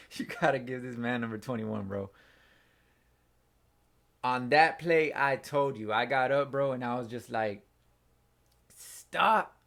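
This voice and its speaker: A young man hosting a casual sports reaction, excitable and slangy, with a hoarse, nearly lost voice from screaming. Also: mid-range voice. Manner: excitable, slangy, says bro a lot. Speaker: fan channel host. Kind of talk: casual post-game reaction.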